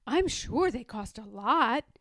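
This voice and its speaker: Woman playing sly young girl, boastful, knowing, persuasive, swooping pitch, storybook friend, dry recording. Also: lively intonation, slow pace, a bit bright, no room sound, exaggerated voice. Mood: sly, discouraging. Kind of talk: children's storybook read-aloud.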